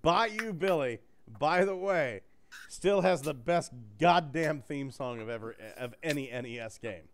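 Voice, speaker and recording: A male podcast co-host who talks with a swinging, lively pitch that sits a touch high. He is crude and profane, cracking jokes and mocking things as he goes. It is loose, casual chat. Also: a little slow. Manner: loud, profane, mocking and jokey.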